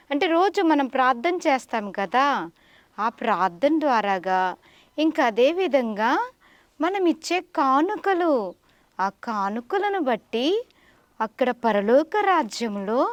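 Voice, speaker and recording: A woman speaking as a Sunday school teacher, telling children a religious story deliberately and checking in with questions. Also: on the high side, dry recording.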